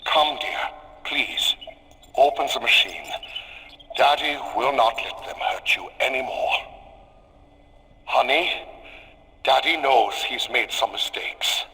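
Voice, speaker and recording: A proud, weary German scientist who is also a father, for a game cutscene. His voice is gravelly yet sharp-edged and earnest, carried on a long reverb. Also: mid-range voice. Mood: pleading, remorseful. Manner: earnest, pleading, measured. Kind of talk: video game cutscene acting. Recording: reverberant hall.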